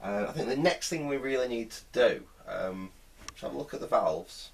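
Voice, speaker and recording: A man's voice: mid-range voice, animated, moderate pace, creaky. A middle-aged man gives casual hands-on repair commentary, hesitantly thinking aloud as he works at an indoor bench. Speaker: vintage radio restorer, presenter. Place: indoor workbench.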